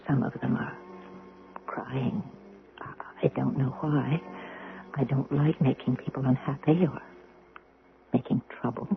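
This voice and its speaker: An actress playing a gentle, humble young model in a radio drama, hesitant and wistful. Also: female voice, rumbling low, full character voice. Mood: weary, wistful. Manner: hesitant, apologetic, breathy pauses. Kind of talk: radio drama acting and first-person narration. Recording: noisy, narrow-band old radio recording.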